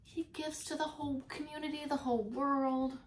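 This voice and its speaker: A woman preschool teacher reading a storybook aloud to young children, expressively, pausing to explain and repeat words simply. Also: sits high, fairly even intonation, a little hiss or hum.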